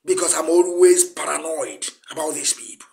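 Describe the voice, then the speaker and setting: High-pitched Nigerian Igbo man, emphatic. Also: moderate pace, vocal fry, a little mellow. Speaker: broadcast host, political activist. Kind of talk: impassioned political monologue. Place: indoor room, seated at a table.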